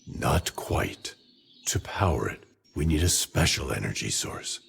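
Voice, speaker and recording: An ancient, non-human male fantasy game character, with an exceptionally deep voice full of gravelly creak. He speaks in formal, measured phrases with lively intonation, earnest and resolute. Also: slight background noise, exaggerated voice. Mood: earnest, thoughtful. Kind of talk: fantasy game cutscene acting.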